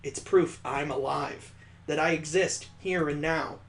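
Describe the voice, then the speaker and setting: Frail dying young woman in a visual novel, male reader, soft, intimate, teasing and yearning. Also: on the high side, unhurried, slight background noise. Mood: wistful longing.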